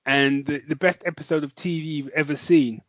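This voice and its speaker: A man's voice: on the high side, fairly even intonation, moderate pace, muffled recording. A man co-hosting a podcast, chatting with rambling enthusiasm and a lot of "man". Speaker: podcast co-host. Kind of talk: casual chat.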